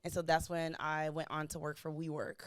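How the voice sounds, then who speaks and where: A woman of about 30 from Miami, a podcast guest talking about her life in a chatty, animated way and laughing often. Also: deep voice, brisk, no room sound. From Miami, USA. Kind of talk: casual interview chat.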